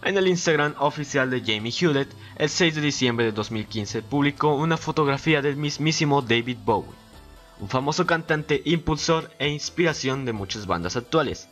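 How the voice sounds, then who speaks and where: Man narrating at medium pitch over music. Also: animated, moderate pace, a bit bright. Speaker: guest collaborator on a YouTube video. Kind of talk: scripted narration.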